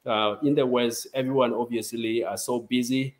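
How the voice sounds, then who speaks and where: A man's voice: medium pitch, normal speed. A young man from South Sudan, a guest chatting easily on a video call from a home office. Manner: relaxed, chatty, laughs easily. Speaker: interview guest.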